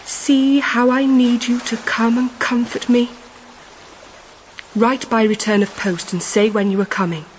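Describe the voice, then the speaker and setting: A woman's voice: on the high side, fairly even intonation, a little slow, natural voice. An actress playing a self-pitying, vain young married lady in a period audio drama, reading a letter aloud in a genteel, plaintive way. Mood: pleading, coaxing. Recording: noisy recording with ambience behind.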